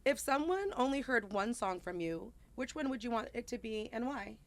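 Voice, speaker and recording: A woman's voice: on the high side, animated, moderate pace. A woman hosting a podcast interview who speaks in an upbeat, encouraging way with casual slang. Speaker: podcast host.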